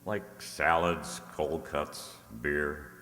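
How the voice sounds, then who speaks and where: An actor playing a hard-boiled, wisecracking private detective in old-time radio style, with slangy, casual patter. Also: male voice, a bass voice, steady tone, very slow, pushed and bright, exaggerated voice. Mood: wry griping. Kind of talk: 1940s radio detective acting. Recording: echoey hall, live stage reverb.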